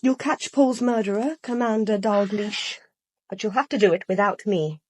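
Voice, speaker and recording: A proud, guarded mistress in a radio drama, played by a woman, holding bitter hurt under control. Her voice is mid-pitched and husky, recorded close and dry. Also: normal speed, a little mellow, dry recording, close to an everyday voice. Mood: proud, defiant. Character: proud, wounded secret mistress, guarded and defiant.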